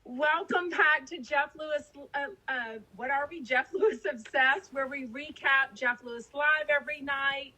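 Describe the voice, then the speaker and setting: Podcast co-host from Texas, woman, very bright and slightly high, gossipy casual chat. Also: moderate pace, few pauses, rough recording. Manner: chatty, gossipy, lots of fillers.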